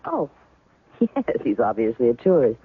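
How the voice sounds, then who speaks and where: A woman's voice: rumbling low, wildly swooping pitch, measured pace, husky, full character voice. An actress playing a doubting woman who questions a man claiming to be her ex-husband, in a 1970s radio drama. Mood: skeptical. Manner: doubting, probing. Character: skeptical woman testing a man's identity. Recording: tinny old radio broadcast.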